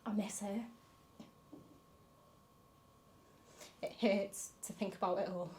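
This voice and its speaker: A woman's voice: medium pitch, steady tone, fairly quick, warm tone, a little hiss or hum, close to an everyday voice. A woman playing a grieving granddaughter, reflecting confessionally on her fractured family in a film monologue. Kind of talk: dramatic monologue in a short film.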